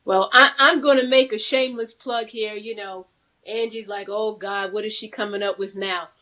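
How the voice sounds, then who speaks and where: A woman's voice: medium pitch, steady tone, normal speed, pushed and bright. A woman hosting a casual live interview, talking in an informal, playful way. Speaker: talk show host.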